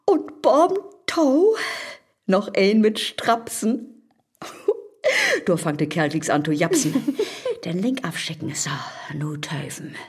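An actress playing a cunning, bold neighbour woman in a rhymed radio play, with a northern Low German accent and a sly, coaxing delivery. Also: very animated, takes it slowly, darkish tone, slightly rough, exaggerated voice. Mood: sly amusement. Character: Marte, cunning bold neighbour woman baiting the devil online. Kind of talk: audio drama acting in rhymed verse.

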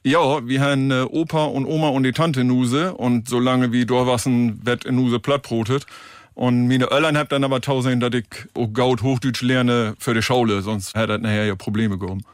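A man's voice: mid-range voice, steady tone, moderate pace, clear, resonant tone, no echo. Radio guest, middle-aged man from emsland, easygoing and friendly, dry close mic. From Emsland, northern Germany. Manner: friendly, plain-spoken, with little laughs. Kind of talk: casual radio interview. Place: radio studio. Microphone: studio microphone.

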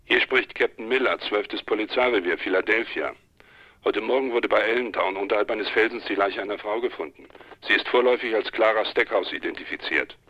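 An actor playing a businesslike police captain in a radio drama, formal and matter-of-fact over the telephone. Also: male voice, on the low side, brisk, bright, forceful tone. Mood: official, grave. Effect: telephone filter. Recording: band-limited telephone line.

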